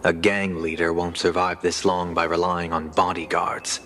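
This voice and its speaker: An actor playing a calm, analytical male bodyguard in a game. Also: low-pitched, normal speed, a little hiss or hum.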